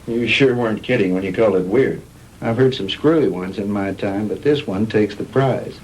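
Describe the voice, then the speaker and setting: A man's voice: on the low side, normal speed, a little mellow, close to an everyday voice. A man playing a brash, wisecracking newspaper reporter with breezy, slangy, insistent delivery in 1960s B-movie style. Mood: pressing, skeptical. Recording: noisy old 1960s film soundtrack.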